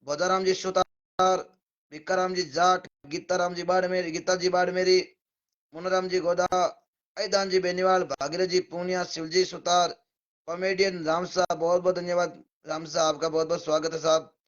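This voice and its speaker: A man with a Rajasthani accent and a hoarse, somewhat high voice greets his live-stream viewers one after another, thanking each by name in a relaxed way. The sound is dull and lo-fi, as if streamed from a phone. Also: moderate pace. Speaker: devotional singer hosting a live stream.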